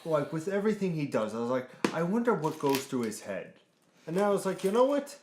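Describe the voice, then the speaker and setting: A man's voice: on the high side, sing-song, a little fast, reverberant, background noise. A man hosting a casual gaming podcast, introducing his co-hosts with playful emcee flair. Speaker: podcast host.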